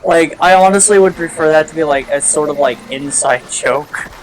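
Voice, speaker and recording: A young man from the United States chatting while playing a game together, speaking in a halting way full of fillers. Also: on the high side, rough recording. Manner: halting, filler-heavy speech. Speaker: co-player. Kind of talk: casual chat over gameplay.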